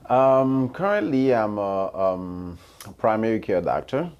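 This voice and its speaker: A man with a Haitian accent speaks as a physician guest. His voice is very deep and his pace is slow. He pauses often and fills the gaps with ums. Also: expressive, clear, resonant tone, highs cut off. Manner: hesitant, many ums, halting. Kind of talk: hesitant interview answers.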